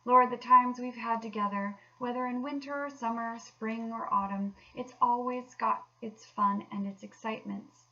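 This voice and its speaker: A woman's voice: a little high, steady tone, moderate pace, few pauses, bright, forceful tone, voice pushed up. Storybook male water rat, woman reader, confident, fussy, crackly voice, slightly dull recording. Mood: fond, enthusiastic. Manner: chummy, emphatic, old-fashioned turns of phrase. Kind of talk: children's storybook read aloud.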